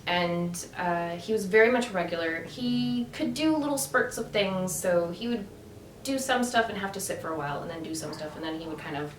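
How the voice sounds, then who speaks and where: Female vlogger, low-ish voice, rambling casual chat; roomy, distant sound. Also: moderate pace, slight background noise. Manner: chatty, many fillers and restarts. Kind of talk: casual storytelling vlog. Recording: echoey room from a distance with faint ambience.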